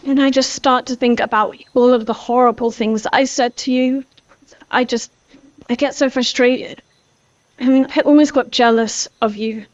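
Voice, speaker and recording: A young woman playing an apologetic teenage girl in an amateur voiced game series, talking in a halting, earnest ramble. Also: a little high, dry recording, natural voice. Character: guilty, apologetic teenage girl, former best friend. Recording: dull lo-fi voice track.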